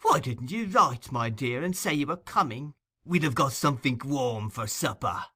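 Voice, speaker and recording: A man's voice: medium pitch, lively intonation, moderate pace, sharp tone, husky, pitched above the performer's own voice. A man voicing a sly old villain in an audiobook, oily, wheedling and falsely humble. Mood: mock humility.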